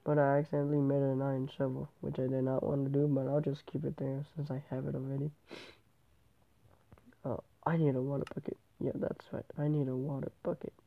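A male gamer with a stuffy nose from a cold, rambling through casual game commentary and often correcting himself. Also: fairly high pitch. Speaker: gameplay channel host. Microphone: tablet microphone. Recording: tinny, phone-like tablet recording.

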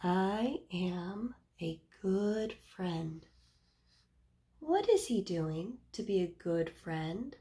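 A woman's voice: mid-range voice, expressive, drawn-out words, mellow. A woman in her thirties reading a picture book aloud to young children as a teacher, explaining simply and putting questions to her listeners.

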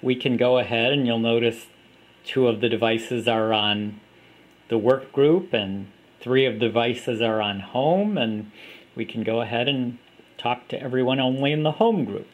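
A man giving a plain, unhurried walkthrough of an app, recorded on a camera mic indoors at a table. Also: mid-range voice. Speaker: app developer demonstrating his app. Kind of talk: casual product demo.

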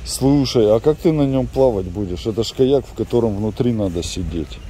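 A man with a fairly low, dark and mellow voice talks casually to the camera, pointing things out to viewers. He is filming on a handheld camera inside a large warehouse store, with faint store noise behind him. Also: animated, a little fast. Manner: chatty, explains to viewers. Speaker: vlogger. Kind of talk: casual vlog chat while shopping.

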